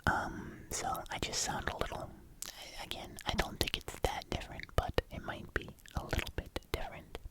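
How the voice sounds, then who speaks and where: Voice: normal speed, a bit bright. A young adult ASMR creator whispering a rambling voiceover into a binaural mic, congested, with clicky mouth sounds and many fillers. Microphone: binaural microphone.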